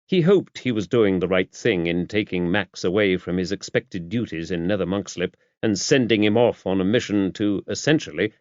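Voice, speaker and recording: A man's voice: fairly low pitch, dry recording. A man narrating a mystery novel as scripted audiobook reading. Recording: dull, muffled lo-fi audio.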